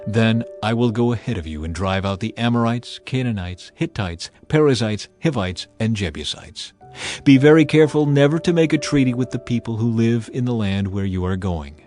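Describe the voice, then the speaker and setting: A man's voice: medium pitch, expressive, moderate pace, darkish tone, soft background music, natural voice. A man voicing a solemn, commanding God in dramatized scripture, grave and declamatory. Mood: stern, commanding. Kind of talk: dramatized audiobook acting.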